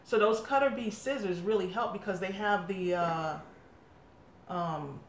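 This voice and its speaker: A woman's voice: mid-range voice, measured pace. A woman from England who hosts a craft channel, chatting casually in a live question session, laughing often and talking through fillers. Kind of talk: casual live-stream chat. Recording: echoey room, faint background noise.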